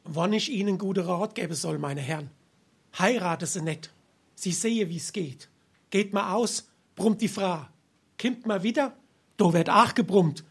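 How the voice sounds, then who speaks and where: A man playing a grumbling, henpecked family father in a Darmstadt Hessian dialect tavern comedy, exasperated and husky. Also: sits high, a little slow, exaggerated voice. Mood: exasperated ranting. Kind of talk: dialect stage comedy acting.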